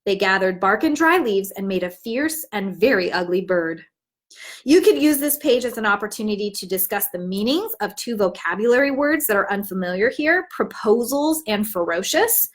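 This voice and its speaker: A woman teaching in an instructive, expressive way, as if demonstrating how to read a storybook aloud. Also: mid-range voice, normal speed. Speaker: teacher trainer.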